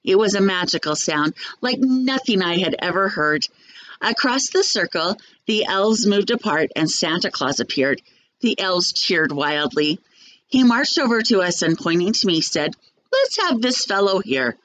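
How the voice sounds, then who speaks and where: A woman reading a children's storybook aloud like a teacher, in an expressive, measured storytelling manner. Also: mid-range voice, poor audio.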